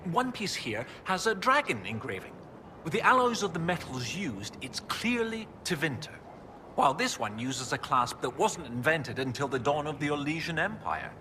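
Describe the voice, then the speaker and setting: An actor playing an enthusiastic research professor in a video game, explaining things in a pedantic, eager way. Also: male voice, on the high side, very animated, moderate pace, pushed and bright, slight background noise. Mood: eager, amused. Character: enthusiastic, bookish male research professor and archaeologist. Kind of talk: video game dialogue acting.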